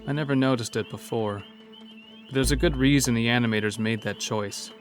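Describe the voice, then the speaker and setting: A man's voice: medium pitch, fairly quick, close to an everyday voice. A man acting as a hunted logger narrating an audio horror drama in a confessional, wry way. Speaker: narrator and protagonist. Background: music.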